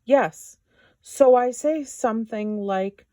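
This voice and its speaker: A woman's voice: fairly high pitch, takes it slowly, dark tone, dry recording, studio quality. A woman voicing a shy, affectionate little mole from a storybook in an earnest, hesitant manner.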